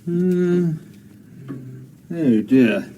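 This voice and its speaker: An actor playing a reluctant, evasive, feeble clerk in a radio sitcom, speaking hesitantly. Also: male voice, on the high side, animated, very slow, mellow, highs cut off, a little hiss or hum. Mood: glum.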